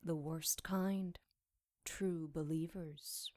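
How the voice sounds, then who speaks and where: A woman's voice: deep voice, fairly even intonation, very slow, dark tone, no echo, studio quality. An actress playing a captive demon witch in an audio drama, sly, wry and defiant in a dryly mocking way. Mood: weary, wry.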